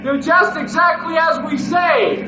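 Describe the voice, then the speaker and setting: A man's voice: extremely high pitch, a little slow, raised voice. A man playing an eager, earnest young rescue sidekick in an old-time radio adventure. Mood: urgent, instructing. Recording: echoey, noisy old radio recording.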